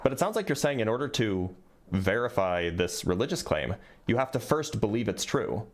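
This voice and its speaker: A man's voice: lowish voice, sing-song, normal speed. A man hosting a debate call, probing and pressing the caller for specifics. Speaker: debate host.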